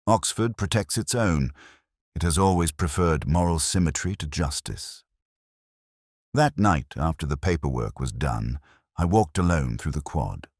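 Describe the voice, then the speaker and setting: A man playing a weary, melancholy English police detective, narrating a radio mystery in a measured, literary, introspective manner. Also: rumbling low, animated, a little mellow, no echo, clean studio sound, full character voice. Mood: sombre, reflective. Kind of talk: slow literary first-person detective narration, radio drama.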